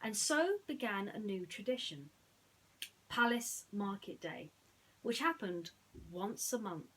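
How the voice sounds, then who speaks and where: A woman speaking in an earnest, slightly halting way, as a storyteller chatting and reading to children. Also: medium pitch, animated, fairly bright tone, husky, rough recording. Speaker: storyteller reading bedtime stories.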